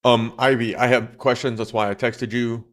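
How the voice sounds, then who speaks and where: A man's voice: medium pitch, flat delivery, moderate pace. A man streaming live, chatting casually and rambling a little as he talks straight to his viewers.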